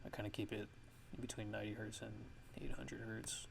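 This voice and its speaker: A man with a lowish, gravelly voice walks through a music production tutorial. His delivery is casual and halting, as if thinking aloud, with very little melody. Clear noise sits on the recording. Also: little pitch movement. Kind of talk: casual software tutorial narration.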